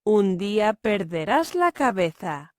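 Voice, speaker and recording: A woman's voice: mid-range voice, big pitch swings, darkish tone, no echo, studio quality. Clara, a woman playing a friendly housemate. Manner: slow, clear, carefully enunciated. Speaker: learner dialogue character. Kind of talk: slow scripted language-lesson dialogue.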